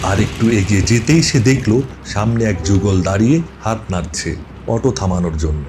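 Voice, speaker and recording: A man's voice: fairly low pitch, normal speed, background noise. A man reading a scripted ghost story as its narrator.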